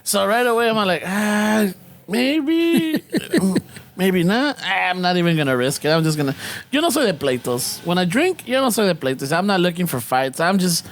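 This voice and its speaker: High-voiced man joking around. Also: big pitch swings, normal speed. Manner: jokey, mixes English and Spanish. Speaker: podcast co-host. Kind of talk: casual banter.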